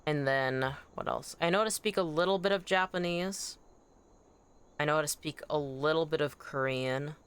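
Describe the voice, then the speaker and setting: Casting: livestream gamer, native Anishinaabemowin speaker, smooth clean tone, excitable and rambling, informal chat delivery. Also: mid-range voice, moderate pace. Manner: animated, excitable, rambling with self-corrections. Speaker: game streamer. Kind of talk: casual livestream chat while gaming.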